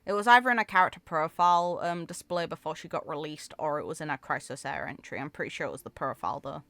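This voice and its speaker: A woman's voice: low voice, lively intonation, fast talker, fairly bright tone, no echo. A woman giving casual commentary as a content creator reacting to a video game.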